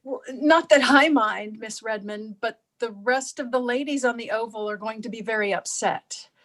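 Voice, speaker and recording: A woman's voice: on the high side, moderate pace, a bit bright, natural voice. A woman playing a naive, anxious suburban housewife, hesitant and stammering, in a staged play reading over a video call. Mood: flustered.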